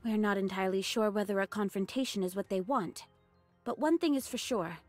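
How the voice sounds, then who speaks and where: A woman's voice: medium pitch, brisk, a little mellow, no echo, natural voice. A woman voicing a confident android squad leader in an anime game, firm and decisive, with music behind her. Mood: commanding.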